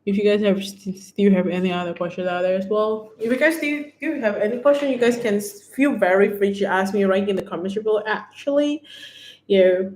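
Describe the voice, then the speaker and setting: A woman with a Thai accent hosting a beauty livestream, chatting casually as she demonstrates products and pitches discounts. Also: fairly quick, darkish tone. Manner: chatty, keeps repeating "right here", upbeat sales talk. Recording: echoey room.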